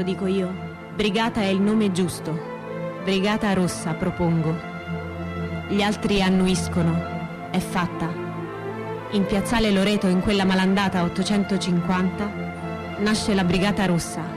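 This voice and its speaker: Radio theatre actress as young female revolutionary: earnest, resolute, measured short phrases, prominent music underneath. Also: mid-range voice, natural voice. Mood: solemn narrating. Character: young woman militant of the Red Brigades, resolute and earnest.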